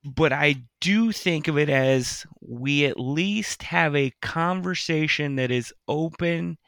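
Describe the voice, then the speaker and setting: A man's voice: fairly high pitch, takes it slowly, gravelly, fairly bright tone, smooth, no echo, studio quality. A middle-aged man, a podcast co-host, chatting casually in a reflective, earnest way. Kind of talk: casual chat.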